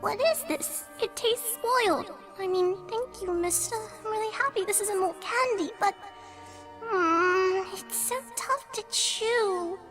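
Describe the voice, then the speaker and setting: Very high, tearful young filly. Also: takes it slowly. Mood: wary, polite. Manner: pleading, tearful, desperate. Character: frightened young pony filly held captive, pleading, sobbing. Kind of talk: fan fiction audio drama. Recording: music playing behind.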